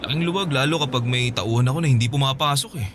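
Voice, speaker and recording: Actor as bright-voiced, teasing Filipino buddy. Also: male voice, medium pitch, quick pace, slight background noise, close to an everyday voice. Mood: grateful, friendly. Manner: chatty, teasing, chuckling buddy talk. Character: teasing, sociable male friend, small business owner and single father. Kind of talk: acted radio drama dialogue in casual banter.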